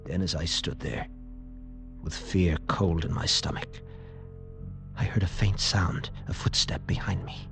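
Radio play casting: a desperate, frightened young-republic-era style lieutenant, low-pitched, intense, pleading, over faint music. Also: male voice, steady tone, a little slow, vocal fry, pauses often. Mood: fearful. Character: frightened, desperate military lieutenant recounting his captivity. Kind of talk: 1940s radio acting, dramatic first-person narration. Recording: old radio broadcast, faint music behind.

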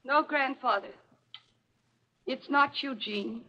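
High husky haunted young woman. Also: slow pace. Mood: haunted, despairing. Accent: American. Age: young adult. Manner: melodramatic, intense. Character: haunted, fatalistic young woman fearing a family curse. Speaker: radio actor. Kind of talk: 1940s radio mystery acting. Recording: muffled old radio recording.

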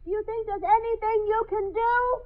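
Radio drama role: anxious trapped woman, extremely high pitch, talkative and pleading, on a muffled narrow vintage recording. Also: fairly even intonation, moderate pace. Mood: insistent, worried. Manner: calling out loudly, chattering explanations. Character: anxious, insistent single woman locked inside a drugstore. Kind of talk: 1950s radio drama acting.

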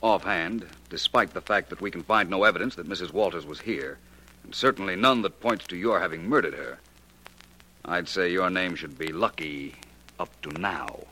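Cool, authoritative gentleman sleuth, very low bright voice, vintage radio detective serial. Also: full character voice. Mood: suspicious, wry. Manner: polished, measured, probing questioning. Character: cool, courteous, authoritative male private detective. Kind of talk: 1940s radio detective acting. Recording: noisy vintage 1940s radio recording.

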